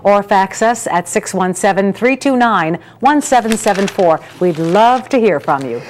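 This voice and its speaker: A woman's voice: medium pitch, animated, moderate pace, a little hiss or hum. A woman working as a program announcer, reading a scripted announcement.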